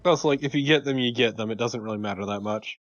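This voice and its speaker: Man, mid-pitched, casual gaming co-commentator, blunt, dismissive, profane, music behind. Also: fast talker, a bit bright, dull audio. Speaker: let's play co-commentator.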